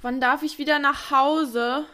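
A woman's voice: sits high, steady tone, measured pace, noisy background. A woman playing a cheerful, naive young princess in a fairy-tale radio play, with a sweet, girlish manner. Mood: plaintive.

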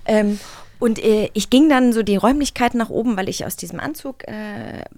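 A woman co-hosts a podcast in an animated, chatty style, laughing as she tells her stories. Her voice sits at an ordinary pitch, and she acts out what people said along the way. The recording is dry and close. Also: moderate pace, no echo. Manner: animated, laughing storyteller who acts out quotes. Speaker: podcast co-host. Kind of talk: casual conversation.

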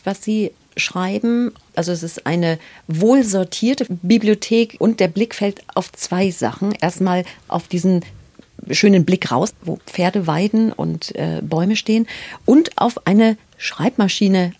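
A woman hosts a literary interview in a fairly low voice with a breathy edge. Her phrasing is articulate and courteous, and the tone stays soft and on the dark side. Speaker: podcast host and interviewer. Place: indoors, in a writer's study.

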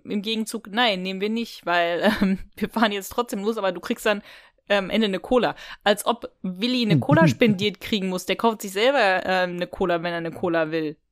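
A woman's voice: mid-range voice, no echo, studio quality. A woman co-hosting a podcast, casually discussing an audio drama in a colloquial, questioning way. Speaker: podcast co-host.

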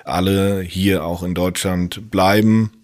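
Deep-voiced man, measured interview answers. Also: steady tone, darkish tone, no echo, studio quality. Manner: measured, with frequent fillers. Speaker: hospital staff coordinating international nurses.

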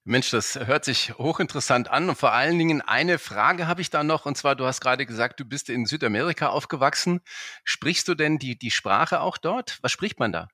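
A man's voice: medium pitch, animated, a little fast, pushed and bright, husky, no room sound, clean studio sound. A man hosting a bank podcast, friendly and enthusiastic, with many filler sounds as he talks to a colleague. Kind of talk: podcast conversation.

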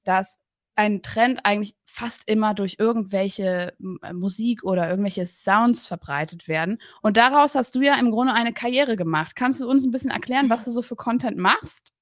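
A woman's voice: medium pitch, moderate pace, no echo, studio quality. A woman hosting a podcast interview remotely, upbeat and chatty with many fillers. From Berlin, Germany. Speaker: podcast host. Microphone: remote recording, separate room.

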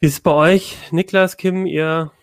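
Hesitant southern German podcast host. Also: male voice, a little high, fairly even intonation, measured pace, clear, resonant tone, no room sound, studio quality. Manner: hesitant, many fillers and restarts. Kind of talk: casual tech podcast chat.

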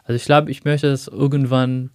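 Soft-spoken young man. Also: mid-range voice, level tone, no echo, clean studio sound. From Syria. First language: Arabic. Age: young adult. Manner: soft-spoken, reflective, halting. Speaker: guest. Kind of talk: personal interview.

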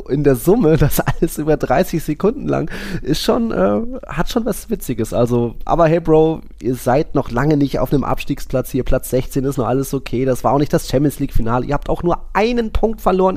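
A man's voice: a little high, animated, a little fast, hardly any pauses, darkish tone. Casual chatty man, football podcast host. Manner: colloquial, often chuckling while talking. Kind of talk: casual sports chat.

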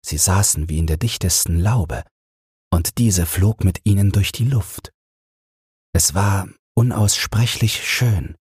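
A man gently narrating a bedtime fairy tale in a soothing, measured way, close to the microphone. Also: low-pitched, breathy edge, no room sound. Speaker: audiobook narrator.

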